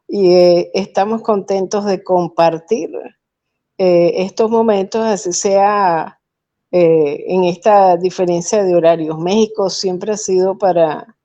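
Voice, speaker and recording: A Venezuelan woman with a Venezuelan Spanish accent, speaking as a guest poet over a video call, measured and thoughtful with hesitant fillers. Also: fairly low pitch, dark tone, highs cut off. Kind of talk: remote interview, reflective storytelling.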